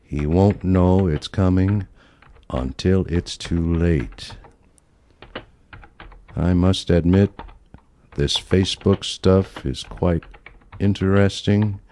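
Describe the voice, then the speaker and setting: A man acts out a crusty elderly grandfather with a secretly scheming streak in an audio play. The voice sits very low and moves slowly, with halting, deliberate phrasing. Some noise runs behind the recording. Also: dark tone. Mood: coldly scheming. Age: older.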